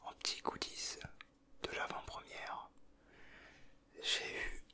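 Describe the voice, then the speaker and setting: A man whispering softly in a casual ASMR style, with a breathy, hushed voice. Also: slow pace. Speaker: ASMR video creator. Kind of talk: whispered ASMR, chatting and reading aloud from a booklet.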